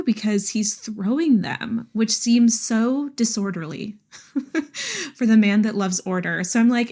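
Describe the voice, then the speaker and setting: A woman co-hosting a podcast, chatting about books in an informal, animated way full of "like". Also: mid-range voice. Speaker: podcast co-host. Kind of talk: casual book discussion chat.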